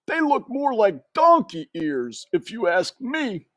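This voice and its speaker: A woman's voice: on the high side, very animated, slow, no room sound, voice pushed up, heavily acted. Woman playing a male storybook mouse, gossipy uncle, blunt, critical, raised voice, dry sound. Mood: mocking.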